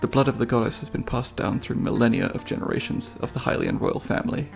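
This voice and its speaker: A man playing a devout, solemn monk elder in a fantasy ASMR roleplay, speaking in a measured, formal, reverent way with a breathy, creaky voice. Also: medium pitch, soft background music.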